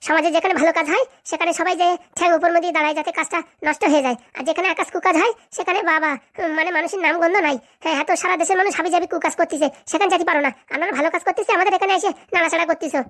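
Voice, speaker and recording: A woman's voice: very high voice, steady tone, very fast, a bit bright. A woman voicing a brash, argumentative village oil seller in a comic cartoon, with a rural Bengali dialect and sarcastic back-talk. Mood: indignant.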